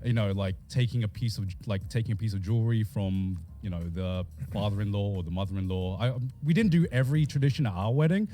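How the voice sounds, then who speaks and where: A man's voice: fairly low pitch, quick pace, hardly any pauses, dark tone. A man chatting casually, rambling and repeating himself as he talks. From England. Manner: rambling, repeats words, laughs often. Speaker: streamer. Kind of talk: casual chat.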